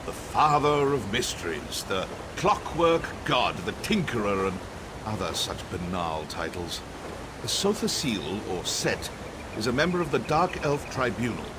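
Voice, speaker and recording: Arrogant, theatrical sorcerer with swooping intonation. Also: male voice, on the low side, very animated, measured pace, background noise. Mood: sardonic, musing. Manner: theatrical, condescending, dryly sardonic. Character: arrogant, cryptic elven sorcerer scholar, wry and scheming. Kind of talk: scripted video game dialogue. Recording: music under the voice.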